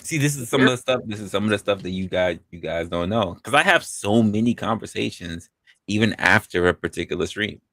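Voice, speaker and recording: Laid-back man in his forties, lively low voice. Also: a little fast, no echo. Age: 40s. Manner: relaxed, laughs often, repeats words as he talks. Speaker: host. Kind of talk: casual chat on a live stream.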